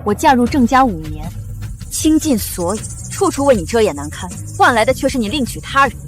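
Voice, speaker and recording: A wronged young noble wife in a Chinese costume drama, indignant and accusing. Her high voice moves with lively pitch over faint music. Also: fairly quick. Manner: accusing, pointed.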